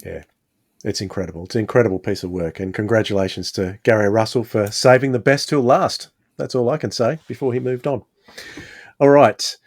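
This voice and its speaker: A man with a fairly deep voice hosts a relaxed, informal review podcast. The recording is dry and close, and he talks in a conversational, explanatory style. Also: a little fast, no room sound. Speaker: podcast host. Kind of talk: casual audio drama review chat.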